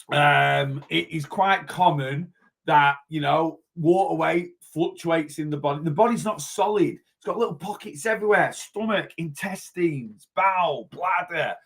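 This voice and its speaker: A man, a weight loss coach chatting casually in a vlog, a little hoarse from losing his voice and rambling as he goes. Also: fairly high pitch, fairly bright tone. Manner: chatty, rambling, self-interrupting.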